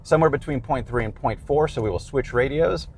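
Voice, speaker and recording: A man's voice: medium pitch, fairly quick, darkish tone, slight background noise. A man in his fifties with an American accent, explaining a product in a plain-spoken, conversational way. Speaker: presenter reviewing gear.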